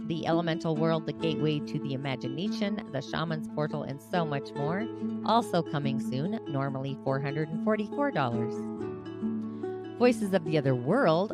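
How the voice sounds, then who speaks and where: A woman reading a scripted promo, listing course offers in an upbeat, even cadence. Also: low voice. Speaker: host. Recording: loud guitar music bed. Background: loud plucked guitar music.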